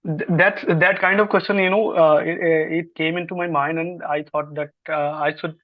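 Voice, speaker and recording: A young man with a slightly raised voice asks his question haltingly, full of fillers and polite "sir"s. His audio is dry and lo-fi, as over a video call. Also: on the high side, fairly even intonation, no echo. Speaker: audience member asking a question. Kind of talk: online Q&A question.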